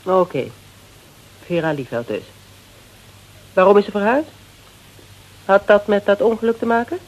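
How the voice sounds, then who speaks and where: A woman's voice: medium pitch, lively intonation, moderate pace, darkish tone, natural voice, poor audio. An actress in a radio play, playing a cool, tough, mocking female interrogator who fires curt, commanding questions. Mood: curt, probing. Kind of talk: Dutch radio play dialogue.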